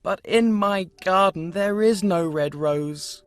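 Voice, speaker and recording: Man voicing a plaintive, lovesick young student, slow-spoken. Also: sits high, no echo, pitched above the performer's own voice. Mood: forlorn. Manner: plaintive, earnest lamenting. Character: lovesick, self-pitying young male student.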